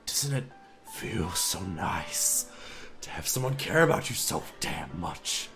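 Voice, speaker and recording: A hoarse man voicing an obsessive, lovesick shy young woman in a hushed, intense manner. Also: deep voice, very animated, slow pace, faint music behind, voice pushed down, heavily acted. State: hoarse, losing his voice.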